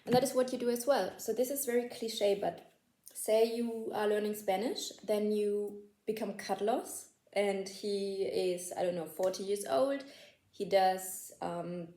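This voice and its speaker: Young female host, mellow voice, chatty and self-deprecating, echoey room. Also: mid-range voice, fairly even intonation, unhurried. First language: German. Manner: chatty, laughs at herself, many "like" fillers. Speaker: language-learning video host. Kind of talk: casual talking-head tips video.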